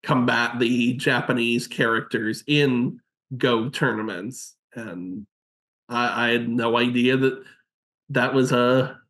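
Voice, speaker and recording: Slow, unhurried male podcast host. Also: mid-range voice, steady tone, fairly bright tone. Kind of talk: casual group chat.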